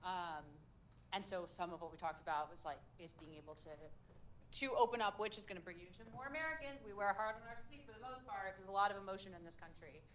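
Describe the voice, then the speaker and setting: A woman's voice: mid-range voice, quick pace, fairly bright tone, a little hiss or hum, low-quality recording. A woman leading a public speaking workshop, upbeat and chatty with plenty of fillers. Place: meetup room with a group.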